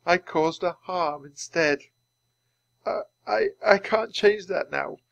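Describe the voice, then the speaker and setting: A young boy wizard from a children's storybook, acted out by a man, pitched high and swinging widely. He speaks earnestly and haltingly, with his feelings close to the surface. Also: expressive, fairly bright tone, dry recording, highs cut off. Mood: tearful, remorseful. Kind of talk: children's audiobook character voice.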